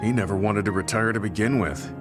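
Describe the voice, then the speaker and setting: A male actor as a serious, weary soldier weighed down by guilt. His voice sits low and his delivery is measured, in the manner of video game drama, with music clearly playing behind him. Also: close to an everyday voice. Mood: regretful. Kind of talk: English video game voice acting.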